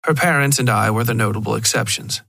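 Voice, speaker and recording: A man's voice: mid-range voice, quick pace, gravelly, sharp tone, no echo. A man reading scripted first-person horror fiction as a podcast narrator, close to the microphone. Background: faint music.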